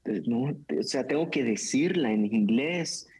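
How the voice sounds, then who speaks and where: A man who preaches, talking casually in conversation, animated and quick, with filler words and laughs. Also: mid-range voice, slightly rough.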